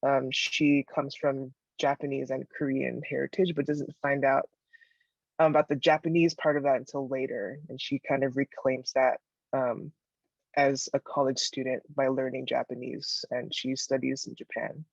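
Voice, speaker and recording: Flat, gravelly man asking an earnest question. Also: mid-range voice, no echo. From United States. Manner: earnest, halting, filler-heavy. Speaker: event moderator. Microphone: video call. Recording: dull muffled audio.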